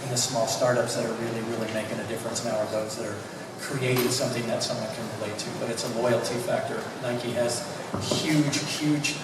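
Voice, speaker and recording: A man on a panel with a flat, even delivery, hesitating and restarting often. He sounds distant, with heavy echo in a noisy event hall. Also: medium pitch, a little fast. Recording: echoey hall from far off, noisy.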